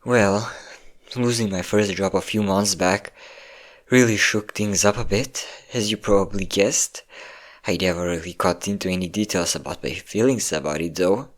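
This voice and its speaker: A man acting as a young man with a fairly low voice, depressed and close to tears. He speaks haltingly and puts himself down as he opens up to his best friend. It has the feel of an intimate audio roleplay drama. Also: close to an everyday voice. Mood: sad, reflective. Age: young adult. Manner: halting, self-deprecating, sighing. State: tearful.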